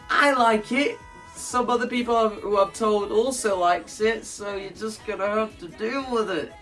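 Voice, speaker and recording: A man's voice: high-pitched, a bit bright. A man talking casually and enthusiastically through his own creative ideas in an explanatory vlog, emphatic and often repeating phrases for stress. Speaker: video creator presenting own stage play.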